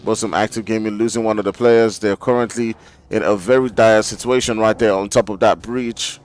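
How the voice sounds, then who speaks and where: A man with an African English accent giving live play-by-play commentary on an esports match, with steady fillers as he calls the action. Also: lowish voice, smooth. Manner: rapid excited calls with filler "you know". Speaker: esports caster. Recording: game gunfire and vehicle sounds behind.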